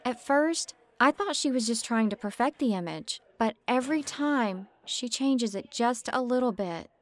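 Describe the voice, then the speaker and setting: A woman's voice: a little high, expressive, moderate pace, natural voice. An American woman playing a blunt, earnest elementary art teacher in an audio drama.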